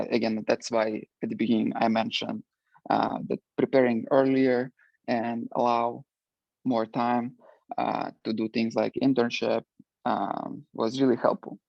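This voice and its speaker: Guy on a panel, low voice, lots of ums, dry muffled call audio. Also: creaky, darkish tone, no echo. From Ukraine. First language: Russian. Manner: hesitant, many filler words. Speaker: alumni panelist. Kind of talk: panel discussion answer.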